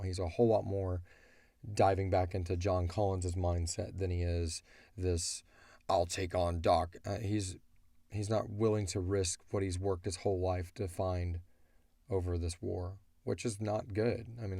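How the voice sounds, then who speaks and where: A man's voice: deep voice, flat delivery, normal speed, dark tone, no echo. A man talking informally as a co-host, explaining things in a rambling, casual way. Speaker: co-host and show creator answering listener questions. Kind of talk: casual chat about an audio drama.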